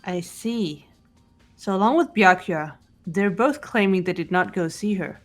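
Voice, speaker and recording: A composed young female detective in a visual novel game voiced by a woman. Her mid-range voice is cool, measured and matter-of-fact, with soft music behind it. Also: medium pitch, animated, natural voice. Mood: cool, analytical. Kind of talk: fan-dubbed visual novel game acting.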